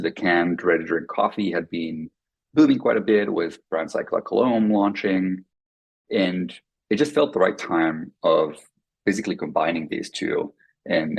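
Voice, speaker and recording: A Finnish-native man explains his company's product in a measured, matter-of-fact way. His voice is deep, with a gravelly fry and a husky edge. The delivery is calm and explanatory. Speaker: company co-founder, guest. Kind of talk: conversational podcast interview.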